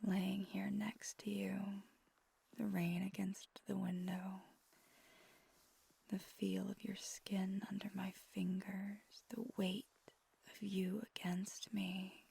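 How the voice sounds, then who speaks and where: Breathy, husky vampire girlfriend acted by a woman. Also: mid-range voice, completely flat delivery, exaggerated voice. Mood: tender, peaceful. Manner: slow, gentle, intimate. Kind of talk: ASMR roleplay, soft whispered pillow talk.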